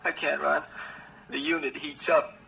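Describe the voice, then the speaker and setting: Stranded spaceman, 1950s radio drama. High, halting, slow, pleading, fading. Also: level tone, vocal fry. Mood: exhausted, dazed. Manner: stammering, pleading, repeating himself. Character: stranded astronaut running out of oxygen, desperate, fading. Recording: muffled, noisy vintage radio recording.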